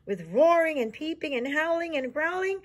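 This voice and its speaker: A woman reading a children's storybook aloud as a teacher would, expressive and explanatory. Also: high voice, moderate pace, background noise.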